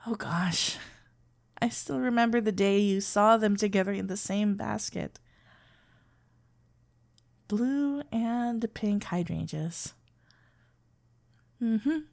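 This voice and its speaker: A woman's voice: medium pitch, slow pace, creaky, long pauses. A woman playing a sweet, flustered florist best friend in an ASMR roleplay, playful and awkwardly hesitant. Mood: flustered, tender. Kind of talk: ASMR roleplay acting, one-sided dialogue.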